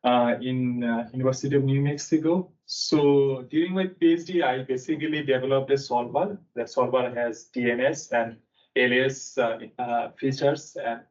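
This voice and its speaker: A young man from Bangladesh presenting a technical seminar in a conference room, talking haltingly with frequent fillers. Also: medium pitch, steady tone, darkish tone. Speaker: seminar speaker. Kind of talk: technical lecture. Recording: distant mic, dull sound.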